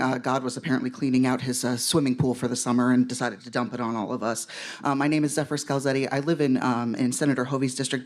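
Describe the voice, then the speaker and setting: A man reading a prepared statement at a public hearing, with some hesitant fillers at the start. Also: mid-range voice. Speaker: member of the public testifying.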